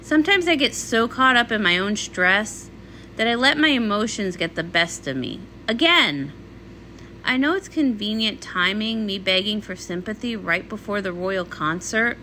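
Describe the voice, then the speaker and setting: A woman's voice: mid-range voice, lively intonation, moderate pace, sharp tone, slight background noise, voice pushed down, rough recording. A woman voicing a young female pony pop star, dramatic, defensive and a little whiny, in a comic read-along. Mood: apologetic.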